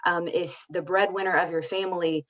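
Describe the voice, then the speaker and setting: A woman's voice: fairly low pitch, steady tone, fairly quick, fairly bright tone. A woman speaking off the cuff as an activist panelist, explaining her points emphatically and checking for agreement. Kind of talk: unscripted advocacy talk on a panel. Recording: slightly dull, lo-fi audio.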